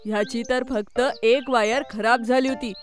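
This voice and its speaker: A woman's voice: on the high side, fairly even intonation, moderate pace, faint music behind, close to an everyday voice. A woman playing a curious, eager young boy in a children's cartoon, speaking expressively. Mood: earnest.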